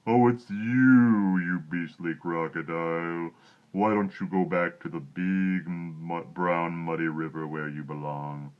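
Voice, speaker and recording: Trunky, a man doing a booming elephant. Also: fairly even intonation, phone-quality sound. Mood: indignant. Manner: booming, slow and indignant. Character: big indignant male elephant.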